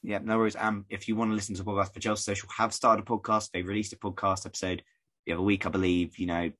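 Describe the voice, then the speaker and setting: A man from the UK hosting a casual podcast, rambling and conversational, his voice croaky. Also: lowish voice, little pitch movement, racing, a bit bright. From United Kingdom. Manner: rambling, conversational, many fillers. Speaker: podcast host.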